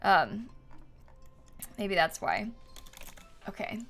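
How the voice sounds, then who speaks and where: Lively young woman streamer, chatty and informal. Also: medium pitch, drawn-out words. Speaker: live game streamer. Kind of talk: casual live-stream chat with viewers. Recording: music playing behind. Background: music, typing.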